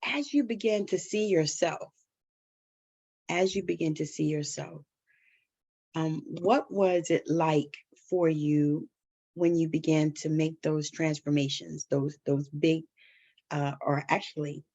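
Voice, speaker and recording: A woman's voice: deep voice, fairly even intonation, takes it slowly, dark tone. A woman hosting an interview, affirming her guest often and asking reflective questions. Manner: affirming, frequent laughs and "mm-hmm". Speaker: podcast host. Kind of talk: interview conversation. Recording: slightly muffled lo-fi audio.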